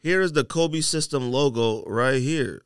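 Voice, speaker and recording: A man's voice: mid-range voice, a little slow, clean tone, no room sound, clean studio sound. A man in casual podcast banter, emphatic and argumentative, repeating himself to drive a point home. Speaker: podcast co-host. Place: podcast studio.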